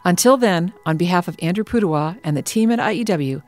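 A woman's voice: lowish voice, animated, fast, a little mellow, faint music behind. A middle-aged woman co-hosting an education podcast, affirming her partner's points, laughing easily and reading a friendly sign-off. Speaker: podcast co-host. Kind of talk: casual chat and scripted outro.

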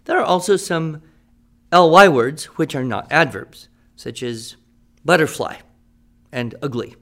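Man acting as a higher-voiced, patient writing teacher. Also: lively intonation, a little slow, fairly bright tone. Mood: instructive. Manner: patient, explanatory, checks for understanding. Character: patient male writing teacher coaxing his students. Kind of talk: improvised-sounding classroom teaching in a radio drama.

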